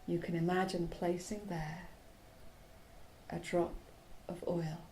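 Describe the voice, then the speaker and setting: Slow, hushed woman guiding relaxation. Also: fairly low pitch, level tone, a little hiss or hum. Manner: soothing, hushed, breathy phrase endings; short phrases with long pauses. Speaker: yoga nidra guide. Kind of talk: guided relaxation meditation.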